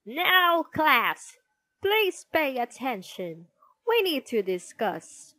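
A woman acts out a fierce storybook schoolmistress, snappish and commanding. The voice is raised and high, with sweeping pitch, and each order is delivered at a measured pace. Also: sing-song, sharp tone, no echo, exaggerated voice. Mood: stern, exasperated. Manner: scolding, commanding. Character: stern, snappish, glaring female schoolteacher.

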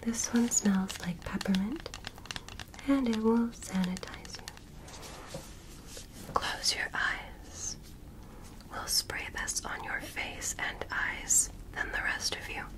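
A young woman voicing a gentle, formal alien medical examiner in an ASMR roleplay, in soft breathy whispers with measured, reassuring phrases. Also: a little high, poor audio. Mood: soothing, clinical. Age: young adult. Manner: slow, deliberate, short measured phrases, reassuring. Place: small bedroom dressed as spaceship, indoors. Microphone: close microphone in front.

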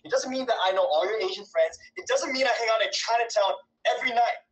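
A young man acting in a comedy sketch, then speaking directly to the viewer in an animated, exaggerated way. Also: high-pitched, fast talker, low-quality recording. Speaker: sketch actor.

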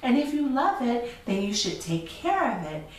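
A woman's voice: fairly high pitch, very animated, moderate pace. A middle-aged woman hosts a children's story reading, speaking expressively and directly to young listeners. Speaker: storyteller and children's show host. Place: indoor room with chalkboard backdrop. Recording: echoey room from a distance, faint noise.

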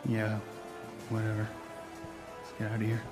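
A man's voice: lowish voice, monotone, normal speed, natural voice. An actor playing a sneering, dismissive teenage boy bully in a video game, scornful in manner. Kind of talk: cinematic video game acting. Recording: music behind the voice.

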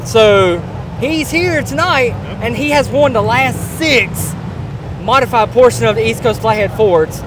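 A middle-aged man with a high voice eagerly rattles off racing stats, fact after fact. Clear outdoor pit noise sits behind him. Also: unhurried. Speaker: stats guy. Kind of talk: reciting stats. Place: outdoor racetrack pit area. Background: pit ambience.

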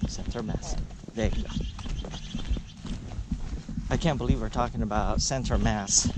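A man's voice: mid-range voice, measured pace. A man chatting casually while hiking with his dog, telling a rambling story as he walks. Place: outdoors on a forest trail. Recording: loud outdoor noise on camera mic.

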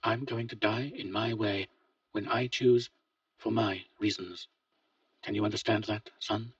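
Brief: male reader as a weary, blunt older father, husky, soft-spoken, distant lo-fi take. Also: on the low side, steady tone, unhurried, no room sound, voice pushed up. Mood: resolute. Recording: dull lo-fi, distant mic.

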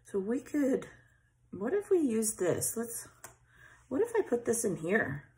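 A woman's voice: a little high, big pitch swings, a little slow, a little mellow. Chatty woman thinking aloud over crafts. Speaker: scrapbooking channel host. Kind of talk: casual craft tutorial chat. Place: craft table at home, overhead camera on hands.

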